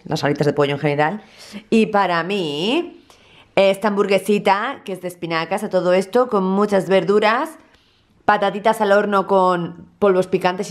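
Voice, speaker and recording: A young woman chatting casually to her viewers in a home vlog, filmed on a handheld camera at arm's length in a small apartment. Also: medium pitch. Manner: chatty, expressive, chatty asides to viewers. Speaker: vlogger. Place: small apartment living room, indoors.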